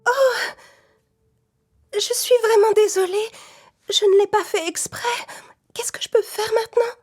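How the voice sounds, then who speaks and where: A woman's voice: extremely high pitch, fairly even intonation, unhurried. A woman voicing a polite, earnest little girl in a children's cartoon story. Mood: sorry, distressed. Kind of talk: children's audio story acting.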